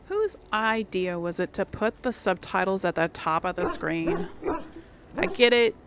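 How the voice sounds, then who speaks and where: A woman's voice: mid-range voice, very animated, unhurried, background noise. A woman hosting a gaming video, giving casual commentary and thinking aloud informally as she plays.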